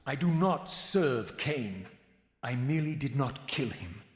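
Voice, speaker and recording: An actor playing a tormented wraith in a video game, bitter, defiant and sardonic, with formal theatrical diction. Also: male voice, mid-range voice, expressive, measured pace, close to an everyday voice. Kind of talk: dramatic video game cutscene acting. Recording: echoey hall reverb with music behind.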